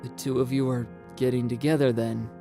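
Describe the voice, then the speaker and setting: A man's voice: medium pitch, level tone, a little fast, dark tone, soft background music, natural voice. A man voicing an earnest, lovestruck teenage boy who blurts out his words in a story reading. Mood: petulant, confused.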